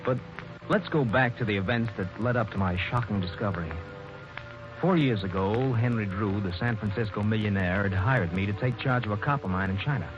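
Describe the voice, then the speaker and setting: An actor playing a young, ambitious engineer in a 1940s radio drama, earnest and stammering when pressed, on a dull old broadcast recording. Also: male voice, on the low side, brisk, over music, lightly acted. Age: young adult. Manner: stammering and earnest, rising to anger. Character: young ambitious male mining engineer narrating, bitter. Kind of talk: 1940s radio acting and narration.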